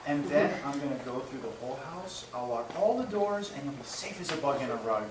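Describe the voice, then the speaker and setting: A woman playing a breezy husband in a radio play, briskly and patronizingly reassuring a timid wife. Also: extremely low pitch, expressive. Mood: firm reassurance. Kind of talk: old-time radio play acting. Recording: roomy, distant mic, noticeable noise.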